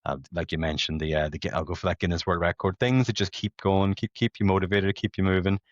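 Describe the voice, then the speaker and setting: Low-voiced Northern Irish man, chatty. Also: fairly even intonation, fast, no room sound. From Belfast, Northern Ireland. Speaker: podcast guest, amateur competitive runner. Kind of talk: casual conversational storytelling. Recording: dull lo-fi podcast audio.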